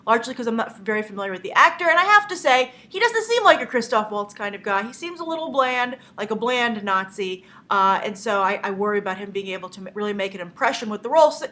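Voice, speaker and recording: Young female movie news host, congested from a cold, tense bright tone, a little high, loose and chatty with frequent fillers. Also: expressive, fast, hardly any pauses. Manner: chatty, many "uh" fillers, opinionated. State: stuffy from a cold. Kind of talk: casual news commentary.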